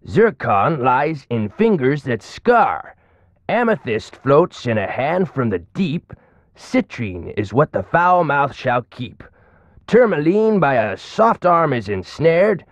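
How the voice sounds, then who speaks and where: A garrulous small-town storyteller for a video game. His rural American voice is fairly high and very animated. He rambles in a folksy way, and the recording is close and dry. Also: dry recording. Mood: slow, mysterious.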